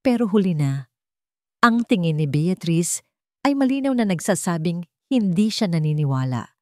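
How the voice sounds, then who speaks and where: A woman's voice: fairly low pitch, sing-song, normal speed, dark tone, dry recording, clean studio sound. A woman narrator reading a scripted romantic drama in a storytelling style.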